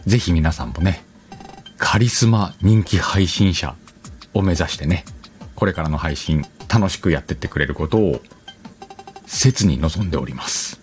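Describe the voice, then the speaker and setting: A man's voice: sits low, lively intonation, normal speed, faint music behind. A man hosting a casual online radio show, chatting colloquially and addressing listeners directly. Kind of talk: casual solo talk and advice to streamers.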